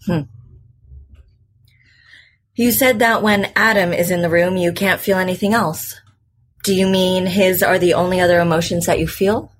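A woman playing a composed, probing psychotherapist in an audio drama, measured and clinical in her questioning. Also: lowish voice, fairly even intonation, lightly acted. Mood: calm, probing. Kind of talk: naturalistic audio drama acting.

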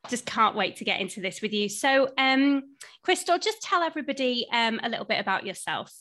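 A woman's voice: a little high, expressive, a little fast, bright, forceful tone. Effusive woman hosting a podcast. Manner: effusive, encouraging. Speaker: podcast host. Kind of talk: scripted intros and chatty interview.

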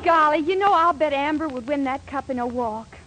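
A lightly hissy vintage radio recording features a young American woman with a high, girlish voice. She is plucky and brisk, always scheming. The acting is in 1940s sitcom style. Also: fairly bright tone, some chatter behind, rough recording. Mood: eager, scheming. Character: plucky, scheming young sweetheart living with her mama.

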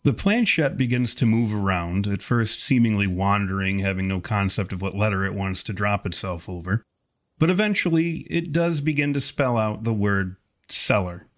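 A man narrating a tabletop horror game as game master, in a measured, wordy, descriptive way. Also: on the low side.

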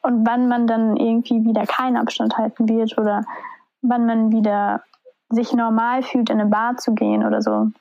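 A woman interviewer asks easy, friendly questions in a gravelly voice with plenty of fry. Her pitch sits fairly high but flat, and the recording is dry and close. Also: normal speed, no echo, lo-fi sound.

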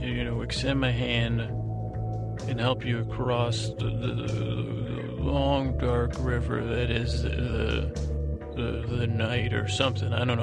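Creaky-voiced man rambling a bedtime monologue. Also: medium pitch. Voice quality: creaky, gravelly. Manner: halting, meandering, repeats and trails off; lulling, soothing. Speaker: podcast host. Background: music.

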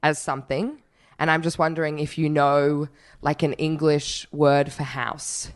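A woman's voice: deep voice, level tone, a little slow. A young woman co-hosting a podcast in casual chat, giggly and rambling, often correcting herself mid-sentence. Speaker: podcast co-host.

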